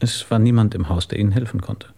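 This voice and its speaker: An actor playing a businesslike police inspector in a German radio crime drama, calm, formal and questioning. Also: male voice, fairly low pitch, fast talker, vocal fry. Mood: calm, probing.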